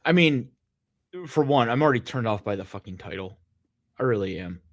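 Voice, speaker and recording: A man's voice: medium pitch, lively intonation, a little fast, dry recording. A man co-hosting a podcast, chatting casually about films in a blunt, profane, grumbling way. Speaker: podcast co-host. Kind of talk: casual chat, film commentary.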